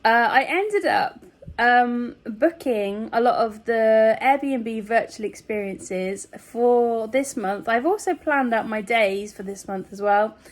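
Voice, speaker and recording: A woman's voice: a little high, slow pace. A woman vlogger talking in a casual, rambling, chatty and giggly way, like a personal video diary. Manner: chatty, meandering, often giggly.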